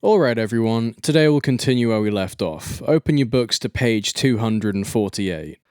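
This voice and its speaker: A man's voice: on the low side, expressive, moderate pace, darkish tone, clear, resonant tone, dry recording, studio quality, close to an everyday voice. A man voicing a businesslike male schoolteacher addressing his class in an amateur game fandub. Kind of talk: amateur visual novel fandub.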